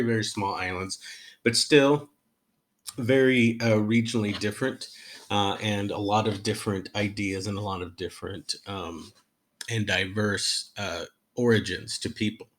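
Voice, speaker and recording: Halting low-voiced male history lecturer. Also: fairly bright tone. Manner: halting, many fillers and restarts. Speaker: history professor. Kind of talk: unscripted lecture. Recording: recorded lecture.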